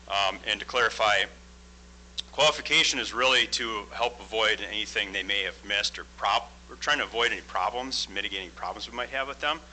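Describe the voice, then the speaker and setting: A man in his forties, a construction project manager, giving a loud, plain-spoken presentation into a microphone at a school board meeting. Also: on the low side, a little hiss or hum, poor audio. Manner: loud, plain-spoken, steady explaining with frequent "uh" fillers. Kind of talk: formal presentation at a public meeting.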